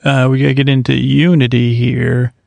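Male podcast host, very gravelly, flat delivery, rambling monologue, close dry mic. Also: medium pitch, normal speed, no echo. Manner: meandering, self-interrupting, many restarts.

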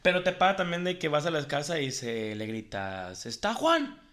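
A young adult man, co-hosting a casual podcast chat, animated and quick to laugh. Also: fairly high pitch. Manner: animated, acts out quoted voices, laughs often. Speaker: podcast co-host.

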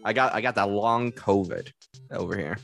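Profane, laughing podcast host with a cough. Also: male voice, fairly low pitch, moderate pace, gravelly, a bit bright, soft background music. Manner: loose, profane, laughs a lot. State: dry throat, lingering cough. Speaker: podcast host running a quiz game. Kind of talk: casual podcast banter.

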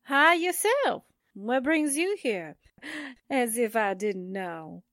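A woman's voice: a little high, animated, slow pace, fairly bright tone, dry recording, studio quality, heavily acted. A woman acting a sardonic, wisecracking sidekick to a reporter in a 1940s-style radio drama. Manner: wry, wisecracking.

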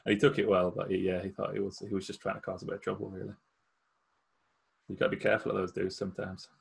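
Fast, gravelly-voiced male host. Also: sits low, little pitch movement. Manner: quick anecdotal storytelling, laughs often. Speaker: musician. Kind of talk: casual chat.

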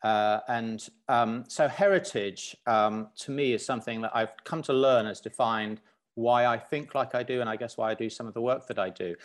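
A man from Zambia as a podcast guest, talking reflectively with many pauses and restarts. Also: mid-range voice. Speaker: guest, business founder. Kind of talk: podcast interview.

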